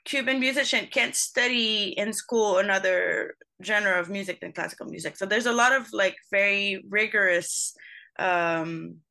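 A woman musician talks through her answers in a bright, clear-toned voice. She often stops and restarts her sentences while hunting for the right words, and the pitch sits in a middle range. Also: moderate pace. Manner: searching for words, frequent restarts. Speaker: trumpet player guest. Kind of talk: casual interview answers.

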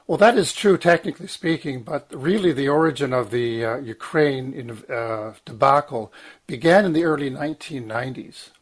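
A man in his sixties, croaky from medication, giving an earnest, emphatic talk with frequent ums, restarts and throat-clearing. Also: mid-range voice, animated, normal speed. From Lower Mainland, Canada. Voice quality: husky, clears throat often. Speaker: guest speaker, former journalist. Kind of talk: long spoken commentary, guest talk.

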